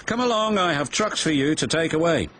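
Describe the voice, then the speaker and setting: A man playing a brisk, matter-of-fact scrapyard manager in a children's storybook. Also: on the high side, animated, a bit bright, a little hiss or hum, voice pushed up. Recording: music behind the voice.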